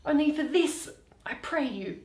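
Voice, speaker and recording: A woman playing an earnest young gentleman who beseeches in Shakespearean verse. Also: high-pitched, expressive, unhurried. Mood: flustered, pleading. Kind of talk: Shakespearean stage reading. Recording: roomy, echoey indoor recording.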